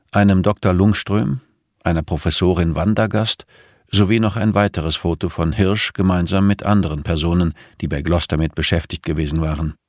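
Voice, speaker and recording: A man with a low voice narrates a thriller audiobook from a script. The sound is very dry, with no room around it. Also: darkish tone, dry recording.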